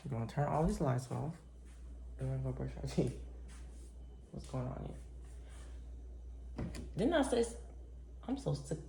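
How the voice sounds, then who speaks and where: A sleepy woman with a deep voice, chatting to her camera at home in a rambling, reflective way. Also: very animated, moderate pace, creaky, pauses often, background noise. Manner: reflective, rambling, soft-spoken. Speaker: vlogger. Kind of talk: casual chat to camera. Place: top-floor apartment at home. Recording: echoey room.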